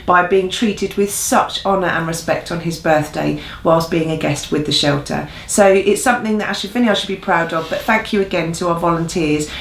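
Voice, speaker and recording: Creaky-voiced Englishwoman giving church news. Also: on the low side, moderate pace, few pauses, slight background noise. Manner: earnest, encouraging. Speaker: church newsreel co-host. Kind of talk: informal church news update with appeals. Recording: echoey room sound.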